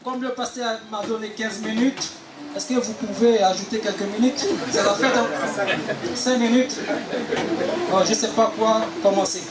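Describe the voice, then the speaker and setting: A man's voice: high voice, fairly even intonation, moderate pace, reverberant, a loud crowd, low-quality recording. A man preaching as a guest, exhorting the congregation and punctuating his phrases with amens. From Haiti. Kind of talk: sermon with call and response.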